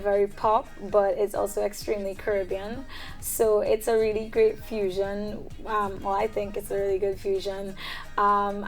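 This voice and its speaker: Young woman, chatty and upbeat. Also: medium pitch. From Trinidad and Tobago. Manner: chatty, frequent "um" fillers and soft laughs. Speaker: singer-songwriter guest. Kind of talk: casual interview answers.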